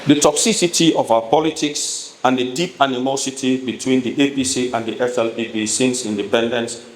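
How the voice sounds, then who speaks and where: A Sierra Leonean man addresses a town hall in formal, emphatic oratory. His mid-pitched voice rings around an echoey room, with some faint noise behind it. He speaks in deliberate, measured phrases, as a politician reading a prepared address. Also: steady tone.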